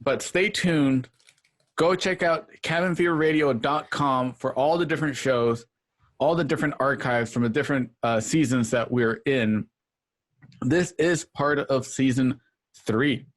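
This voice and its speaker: A man's voice: medium pitch, a little slow. A man hosting, rambling through thanks and show plugs in casual chat from home, laughing often. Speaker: show host. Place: home, over a video call. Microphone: home setup.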